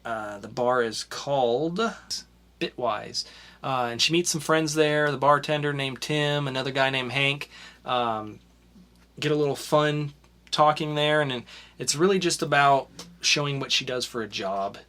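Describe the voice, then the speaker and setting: A young man co-hosts a casual podcast and reviews comics in an easygoing, rambling way, full of fillers and restarts. His voice is bright and forward, and his pitch sits in an ordinary middle range. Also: unhurried. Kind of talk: casual chat.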